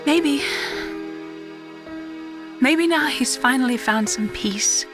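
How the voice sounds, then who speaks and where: A woman playing a grieving sister in a video game, speaking softly and hesitantly, with tender sorrow. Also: high-pitched, slow. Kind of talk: dramatic video game acting. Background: music.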